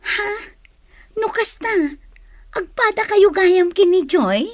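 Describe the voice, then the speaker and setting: A woman's voice: extremely high pitch, expressive, slow pace, no echo, studio quality, heavily acted. A woman playing a young Filipino woman in an audio drama, with an Ilocano accent, in familiar dialogue. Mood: surprised, advising.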